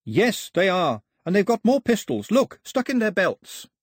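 Audiobook heroine, played by a man: quick-witted young woman, high, breathy, pleading yet defiant, very lively intonation. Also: dry recording, studio quality, heavily acted. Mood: urgent. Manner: urgent, articulate, pleading.

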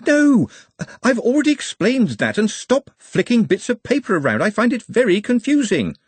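Very high, peevish academic. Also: male voice, sing-song, moderate pace, husky, no room sound, exaggerated voice. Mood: exasperated. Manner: pedantic, peevish. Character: exasperated, fussy academic man.

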